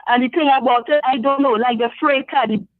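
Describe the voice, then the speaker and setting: A woman from Trinidad with a Trinidadian accent, calling in to a talk show and speaking hesitantly with many pauses. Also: high-pitched, fairly even intonation, no echo. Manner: hesitant, lots of ums. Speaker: caller. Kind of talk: phone call-in. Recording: phone line.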